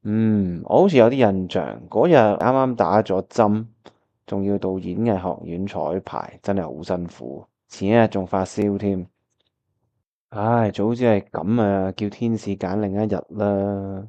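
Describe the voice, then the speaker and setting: A man's voice: on the low side, measured pace, dark tone, highs cut off. A man with a Hong Kong Cantonese accent acting a disheartened, wistful time traveller who narrates his own radio drama. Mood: resigned, grumbling. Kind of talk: first-person radio drama narration and dialogue. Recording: music playing behind the voice.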